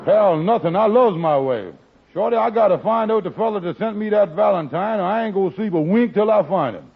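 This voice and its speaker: A man's voice: sits high, animated, brisk, breathy edge, a faint crowd, full character voice. An actor playing a blustering, scheming middle-aged man in a 1940s radio comedy, on a dull vintage recording. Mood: aggrieved. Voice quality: blustering, emphatic.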